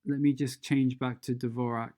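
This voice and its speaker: A software tutorial presenter talking through steps unscripted and hesitantly, thinking aloud while demonstrating. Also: mid-range voice, level tone, moderate pace.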